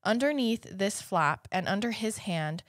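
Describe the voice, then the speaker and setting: A woman's voice: mid-range voice, expressive, unhurried, dry recording, studio quality. Congested young American woman, podcast storyteller. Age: young adult. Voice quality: slightly congested. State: has a cold. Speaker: podcast co-host. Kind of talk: true-crime storytelling, conversational. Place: small indoor podcast studio. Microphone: close studio mic on boom arm, headphones.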